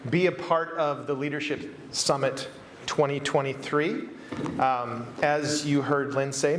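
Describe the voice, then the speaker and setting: A man with an American accent giving an earnest, measured keynote talk at a conference, repeating phrases for emphasis. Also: mid-range voice, a little hiss or hum. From United States. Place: conference hall.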